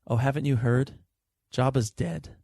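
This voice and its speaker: A man's voice: medium pitch, measured pace, vocal fry, warm tone, no room sound, studio quality. A man playing a tired, idealistic and hot-headed police detective in a sci-fi audio drama, wry and insistent. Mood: righteous, resolute. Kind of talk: hard-boiled sci-fi audio drama acting.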